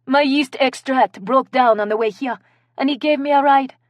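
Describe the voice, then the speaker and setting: A woman's voice: high voice, normal speed, no echo, voice pushed up, close to an everyday voice. A woman narrator voicing a haughty, dramatic French woman suspect with a French accent in a comic story. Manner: haughty, melodramatic.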